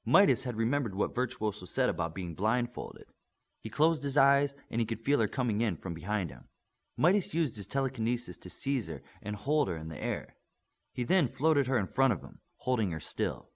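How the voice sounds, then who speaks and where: A man narrates superhero fiction for an audiobook. His voice sits low, and his pitch moves widely as he reads the story. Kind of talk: scripted fiction narration, reading a superhero novel.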